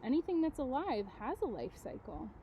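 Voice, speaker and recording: A woman teacher reading aloud to children, with expressive delivery and casual, chatty asides that put questions to her listeners. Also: high-pitched, normal speed, background noise. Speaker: elementary school teacher.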